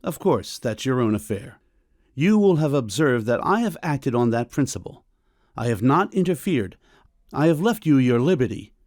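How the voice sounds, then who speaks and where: A man playing a stern, middle-aged doctor and father in a period audiobook drama, with a measured, formal, coolly ironic manner. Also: mid-range voice, sing-song, a little mellow, no echo, studio quality, close to an everyday voice. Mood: stern, disapproving. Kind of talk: full-cast audiobook period drama acting.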